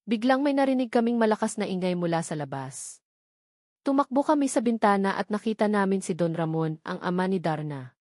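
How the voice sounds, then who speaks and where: A woman with a Filipino accent reading a scripted first-person dramatic story in a solemn, measured delivery. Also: mid-range voice, expressive, darkish tone, dry recording, clean studio sound. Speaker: audio drama narrator.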